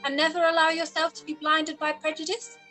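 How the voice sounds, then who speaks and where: An actress playing a witty, proud young gentlewoman in a period radio-play reading, with arch, pointed, formal delivery. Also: sits high, monotone, brisk, soft background music. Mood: probing.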